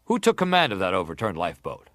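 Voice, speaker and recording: An actor playing an insistent senator who leads a formal inquiry, questioning in a pressing, formal manner. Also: male voice, low voice, huge pitch swings, fast talker, a bit bright, no echo, clean studio sound, exaggerated voice. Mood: probing, formal. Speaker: actor reading testimony. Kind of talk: dramatized reading of hearing testimony.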